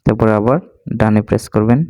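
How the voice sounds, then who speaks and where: Male tutorial host, very even intonation, soft dark tone, instructional step-by-step delivery. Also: lowish voice, fast talker, no room sound. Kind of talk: step-by-step screen tutorial narration.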